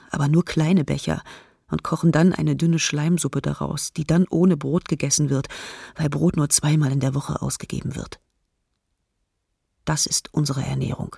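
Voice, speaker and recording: Radio drama: bleak woman teacher diarist, very husky, creaky, sober delivery. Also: low-pitched, a little mellow, no room sound, studio quality, full character voice. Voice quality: gravelly, raspy. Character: female teacher writing a bleak wartime hunger diary. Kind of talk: radio docudrama diary reading.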